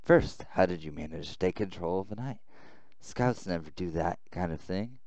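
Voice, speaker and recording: A man voicing a gruff, threatening military boss in a visual novel, blunt and menacing. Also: low-pitched, lively intonation, moderate pace, darkish tone, no room sound, highs cut off.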